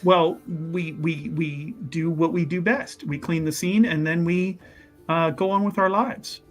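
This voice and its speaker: A man's voice: fairly high pitch, steady tone, measured pace, soft background music. A man playing in a tabletop roleplaying game, improvising in character with a hesitant, roundabout, repetitive way of talking. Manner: hesitant, repeats words, roundabout.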